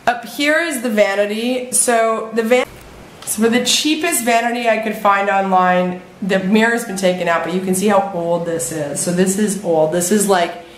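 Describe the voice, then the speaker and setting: A woman in her thirties walks through her house with her phone held out and talks upbeat and casually, full of fillers. Her voice sits at a middle pitch. A faint hiss of noise stays under the whole take. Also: normal speed, low-quality recording. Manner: chatty, upbeat, lots of fillers like "like" and "you know". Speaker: vlogger giving a home tour. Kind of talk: casual house tour walkthrough. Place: house with open patio in a warm climate. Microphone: handheld phone camera, often at arm's length.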